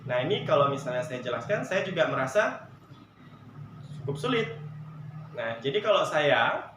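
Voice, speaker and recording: Echoey male teacher, higher voice. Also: a bit bright, muffled recording. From Javanese heritage, Indonesia. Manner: explains by examples and asks the class rhetorical questions, many "nah" fillers. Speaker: sociology teacher. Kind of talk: online classroom lecture. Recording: echoey room with faint noise.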